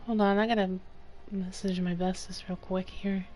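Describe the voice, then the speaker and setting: A woman's voice: mid-range voice, level tone, moderate pace, a little mellow. A woman streaming a video game, making casual live commentary. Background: faint game music.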